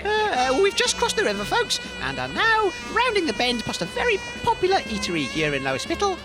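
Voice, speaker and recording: Flustered radio host , acted by a man, comedy drama, very high and swooping, polite, music behind. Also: huge pitch swings, fairly quick, heavily acted, rough recording. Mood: excited narrating. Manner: hesitant, fussy, polite. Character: flustered, polite radio presenter giving live commentary. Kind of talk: comedy audio drama acting, live commentary.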